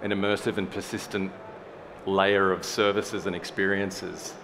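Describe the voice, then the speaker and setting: A man's voice: deep voice, flat delivery, measured pace, a bit bright, a little hiss or hum. A man in his forties speaking as a panelist on a conference stage through a headset mic, candid and wry. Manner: candid, wry, with a casual swear. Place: large conference hall stage.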